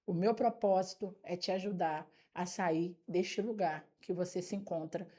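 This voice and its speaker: A woman's voice: on the low side, moderate pace, dark tone. Earnest Brazilian woman in her forties. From São Paulo state, Brazil. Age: 40s. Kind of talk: talk show conversation and testimony.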